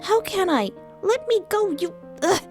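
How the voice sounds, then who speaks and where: A woman voicing a fierce, angry young woman with magic in a fantasy audio story, speaking in a demanding, sharp-tongued way. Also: high voice, sing-song, a little slow, faint music behind, voice pushed up. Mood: furious.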